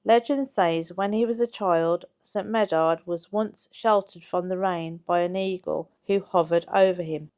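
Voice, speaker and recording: A woman's voice: lowish voice, steady tone, measured pace, few pauses, a little mellow, clean tone. A woman reading a scripted history narration aloud in a factual, storytelling manner. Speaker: channel co-host narrating a history video.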